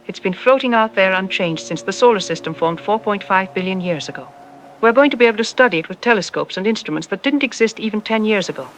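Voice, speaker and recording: A woman playing a calm, reassuring scientist who explains things in a measured way, as an expert guest in an audio drama. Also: mid-range voice, slight background noise, natural voice.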